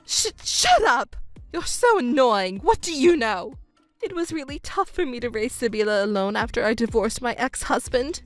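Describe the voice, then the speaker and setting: A woman voicing a shrill whiny stepmother. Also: lively intonation, normal speed, no room sound, soft background music, close to an everyday voice. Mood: shrill, accusing. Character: shrill selfish middle-aged stepmother, self-pitying. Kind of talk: narrated drama dub.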